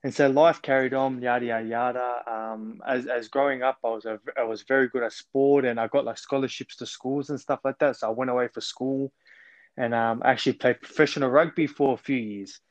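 Halting young australian man on a call. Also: mid-range voice, fairly even intonation, dry recording, lo-fi sound. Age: 20s. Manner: earnest, halting, frequent ums and restarts. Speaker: podcast guest. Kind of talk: telling his life story on a call. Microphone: remote call.

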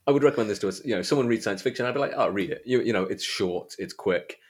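A man hosting a podcast, chatting casually about books in a halting way, often repeating and correcting himself. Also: fairly low pitch, slightly rough. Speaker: podcast host. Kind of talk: casual book chat.

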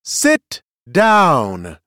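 A man's voice: a little high, big pitch swings, unhurried, dry recording, studio quality, voice pushed up. A man voicing a stern, commanding female seer and serpent judge in an audiobook, with imperious formal orders.

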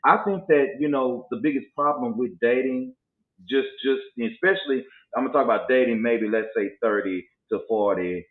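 A man's voice: mid-range voice, a little fast. A man in his forties talking casually about dating, emphatic and preachy. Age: 40s. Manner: preachy, emphatic, slips into mock voices to make a point. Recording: echoey, tinny phone-like sound.

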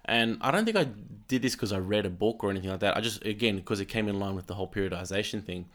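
Earnest low-voiced man. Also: expressive, racing, fairly bright tone. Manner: earnest, repeats words while finding his point; acts out quoted voices in a higher pitch. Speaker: podcast co-host. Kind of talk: casual chat.

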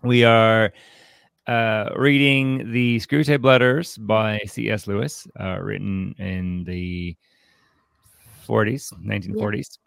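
A man hosting a podcast, chatting casually about books in an unscripted, halting way as he thinks aloud. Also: lowish voice, steady tone, gravelly, a bit bright, smooth, no echo, clean studio sound. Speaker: podcast host. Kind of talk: casual unscripted book discussion.

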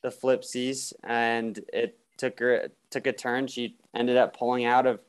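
Guy hosting a sports talk, smooth clear voice, laid back. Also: mid-range voice, measured pace. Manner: relaxed, conversational, hesitant restarts. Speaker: host. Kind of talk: casual sports chat.

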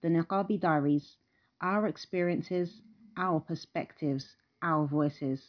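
A woman's voice: low-pitched, slow pace, dry recording, highs cut off. A woman hosting a podcast, introducing the show and interviewing a guest in a conversational way.

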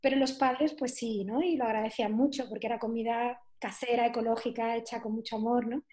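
A woman's voice: fairly high pitch, steady tone, a little fast, a little mellow. A woman, a nutrition therapist telling her own story as an interview guest, chatty and full of fillers and tag questions. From southern Spain. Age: 40s.

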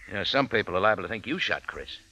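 An actor playing a tough, wry bar owner hero in an old radio crime drama, narrating and talking in terse, slangy phrases. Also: male voice, lowish voice, lively intonation, fast, bright, forceful tone, heavily acted. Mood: needling. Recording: dull vintage radio recording, music behind.

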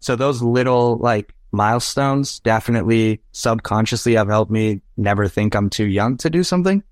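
A man's voice: fairly low pitch, moderate pace, no echo, studio quality. A young adult man whose first language is Spanish, earnestly telling personal anecdotes as a podcast guest and often checking in with "right?". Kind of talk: casual interview storytelling.

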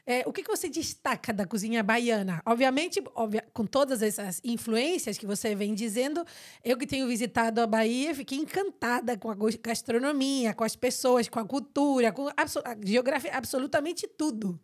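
A woman's voice: a little high, moderate pace. A woman hosting a podcast, asking questions with effusive enthusiasm. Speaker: podcast host. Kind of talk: podcast interview.

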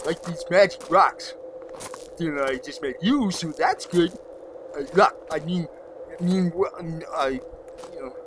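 A man's voice: on the high side, measured pace, pauses often, background noise, lightly acted. A man playing a bumbling, easily confused young slacker in a comedic audio drama, slangy and stammering. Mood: flustered.

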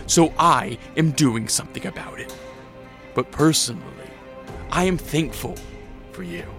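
A solemn, brooding antihero in a radio drama. The mid-pitched voice is gravelly and deliberate, with light music underneath. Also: male voice, a bit bright. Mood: earnest resolve. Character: brooding, principled male bloodthirsty antihero.

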